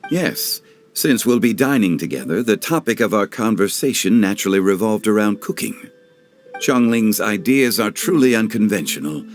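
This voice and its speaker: Guy doing composed formal scholar type in a game, low voice, eloquent, soft music under. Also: animated, moderate pace, close to an everyday voice. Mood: calm. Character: composed, courteous, learned gentleman consultant. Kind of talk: dubbed video game cutscene dialogue.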